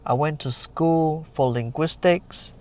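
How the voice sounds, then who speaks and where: A man's voice: a little high, slow pace, darkish tone. Casual Malaysian-born man vlogging. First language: Chinese dialects. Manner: plain conversational, uses lots of "like" and "you know", nonnative grammar. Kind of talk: casual vlog talk to camera.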